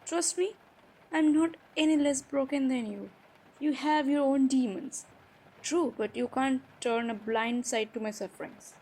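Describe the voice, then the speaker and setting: A woman playing a hurt, defiant young college-age stepsister in an improvised audio roleplay, speaking emotionally and haltingly with sighs and pauses. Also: high-pitched, warm tone, low-quality recording. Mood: hurt, bitter. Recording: faint background noise.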